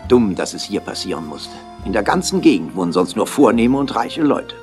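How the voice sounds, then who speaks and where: An actor playing a worldly, sardonic police official on the Riviera in a 1960s German radio crime drama, suave and wryly exasperated. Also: male voice, lowish voice, big pitch swings, a little fast, a little mellow, soft background music. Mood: suave, evasive.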